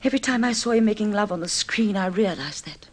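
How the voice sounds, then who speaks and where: A woman's voice: mid-range voice, lively intonation, quick pace, close to an everyday voice. An actress playing a guarded, wounded woman grieving her former fiancé, with dramatic, emotional delivery in vintage radio drama style. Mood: anguished, regretful.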